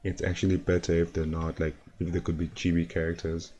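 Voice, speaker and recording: A man with a deep, dark, hoarse voice talks slowly into his desk mic, worn out from no sleep. He rambles in a laid-back way with little rise and fall, chuckling between thoughts as he reacts to clips on stream. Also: little pitch movement, lo-fi sound, slight background noise. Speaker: animator streamer. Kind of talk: casual livestream chat and reactions.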